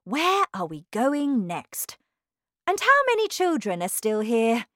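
A woman voicing an eccentric, excitable male factory owner in a children's storybook, exclaiming with showy delight. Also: a little high, takes it slowly, dry recording, studio quality, pitched above the performer's own voice, full character voice. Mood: reassuring.

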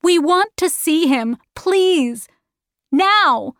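An anxious young girl from a storybook, as a woman acts her out. The voice is high and very animated, impatient and full of urgent pleading. The sound is dry. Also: drawn-out words, no echo, exaggerated voice.

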